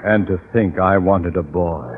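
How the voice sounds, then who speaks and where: A man's voice: deep voice, unhurried, a loud crowd. An American man playing a pompous, self-important middle-aged boss and father in old radio comedy. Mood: curt, wounded. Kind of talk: 1940s-50s radio comedy acting. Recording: noisy, muffled old radio recording with audience.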